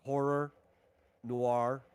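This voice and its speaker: A man's voice: medium pitch, level tone, drawn-out words, a little mellow, clean tone. A man casually explaining tabletop game rules in an informal, conversational way as he runs a session. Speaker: game designer running a tabletop RPG session.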